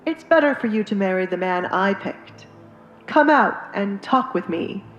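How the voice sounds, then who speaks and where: A woman's voice: mid-range voice, lively intonation, a little slow, fairly bright tone, reverberant, muffled recording, soft background music. A woman voicing a stern, pushy father who demands obedience from his daughter, in dramatized audiobook dialogue. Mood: disapproving.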